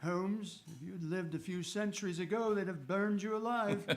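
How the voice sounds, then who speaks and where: Good-natured gentleman sidekick, high male voice, marvelling, exclamatory, radio-play style. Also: moderate pace. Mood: genial, curious. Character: amazed, good-natured English gentleman, detective's loyal friend. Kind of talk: radio drama acting.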